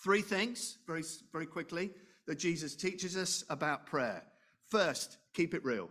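A man preaching a sermon, loud and animated, chatting with asides and rhetorical questions. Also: fairly high pitch, measured pace.

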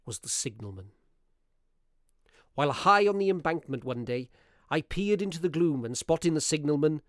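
A man acting as a curious, courteous Victorian gentleman who narrates a ghost story in a radio play, with theatrical, deliberate phrasing. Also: mid-range voice, no room sound, clean studio sound. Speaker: narrator of an audio drama.

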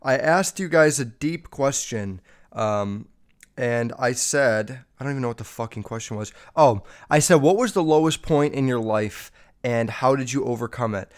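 A man's voice: mid-range voice, animated, moderate pace. A young man hosting a casual solo podcast, rambling and swearing a lot, sounding slightly nasal and a bit under the weather. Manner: rambling, heavy swearing, many fillers. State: feeling sick. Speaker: podcast host. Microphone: podcast microphone.

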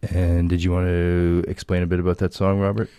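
A man's voice: sits low, monotone, a little fast, dark tone, clean tone, no echo. A man hosting a community radio show, chatting casually with his guest in a studio. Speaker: radio host.

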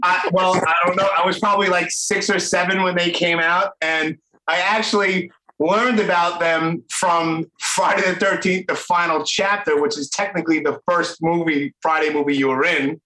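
A man's voice: high-pitched, steady tone, moderate pace, few pauses. Chatty Brooklyn man in an echoey room. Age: 40s. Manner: chatty, warm-hearted, rambling. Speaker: podcast host. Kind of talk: casual interview chat.

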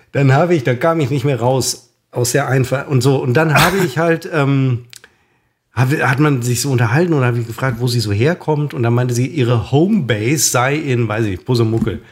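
A man's voice: medium pitch, moderate pace, slight background noise. A man co-hosting a comedic chat, rambling with many fillers, a bit drunk, working himself up into a rant. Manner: ranting, hesitant with many fillers, gets worked up. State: tipsy. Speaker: podcast co-host.

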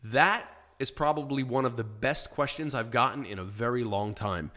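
A man with a hoarse, worn voice talks straight into a studio microphone, hosting a casual live show. He answers questions in a loose, plainspoken way, with plenty of ums and restarts. His pitch sits in an ordinary middle range for a man. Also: moderate pace, pushed and bright. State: hoarse from shouting. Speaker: podcast host answering listener questions. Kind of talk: casual live podcast chat. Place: small home studio.

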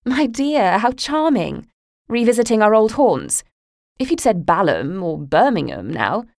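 A woman reading aloud takes on a sweetly cruel mother in a storybook reading. The voice rides higher than her usual, honeyed and needling. The reading is close and dry, with no room sound. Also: expressive, moderate pace, darkish tone, no echo, lightly acted. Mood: sweetly mocking. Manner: sugary and cutting. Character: sneering, sweetly malicious middle-aged mother.

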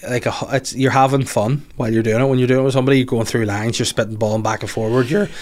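A man's voice: medium pitch, fairly even intonation, rapid-fire. A young man, a comedian co-hosting a podcast, chatting casually with lots of restarts, laughter and swearing. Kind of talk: casual chat.

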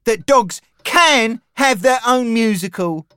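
A woman plays an indignant little boy dog in a children's audiobook. The pitch sits very high and leaps about with huffy, melodramatic pride, in a dry, close sound. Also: lively intonation, takes it slowly, a bit bright, clear, resonant tone, dry recording. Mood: defiant. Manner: spluttering, huffy, melodramatic protests. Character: indignant little male pet dog, proud, easily wounded.

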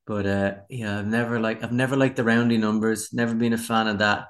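A man's voice: fairly low pitch, flat delivery, brisk. An Irish man in his forties, a musician chatting casually in an interview with a wry, self-deprecating manner. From Ireland. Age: 40s.

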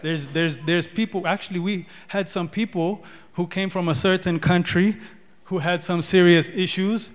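A man's voice: high-pitched, fairly even intonation, normal speed. A man from Ethiopia preaching a sermon, repeating words and building in rising declarations. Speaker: pastor. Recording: long hall reverb.

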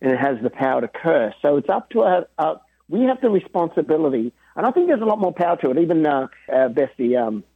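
A man being interviewed about drumming and faith, talking in an earnest, animated way and laughing easily. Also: fairly high pitch, fast, hardly any pauses, breathy edge, dry recording. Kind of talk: casual interview chat. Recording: dry tinny phone-like line.

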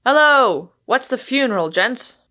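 A woman's voice: medium pitch, normal speed, fairly bright tone, lightly acted. A woman voicing a cheeky, jovial working-class Cockney man in a storybook, breezy, blunt and slangy. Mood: uproarious, teasing. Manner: breezy, slangy, cheerfully mocking.

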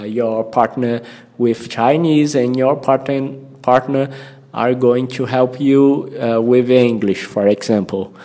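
Slow, halting Brazilian man, mellow voice. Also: mid-range voice. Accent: Brazilian Portuguese. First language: Portuguese. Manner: halting, searching for words, repeats himself. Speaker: language-learning podcast host. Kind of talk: informal spoken English-learning lesson.

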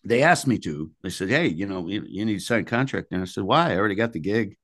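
An older man speaks in a deep voice with very little room sound around it. He tells anecdotes as an interviewed musician would, casually and colloquially. His phrasing is blunt and salty. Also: animated, fast talker, a bit bright, no room sound, studio quality.

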